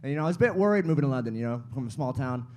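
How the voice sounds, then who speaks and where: A man's voice: mid-range voice, animated, racing, darkish tone. An American man from Ohio doing a stand-up set in a club, self-deprecating and chatty, and asking the crowd questions. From United States, small-town Ohio. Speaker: stand-up comic. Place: comedy club stage.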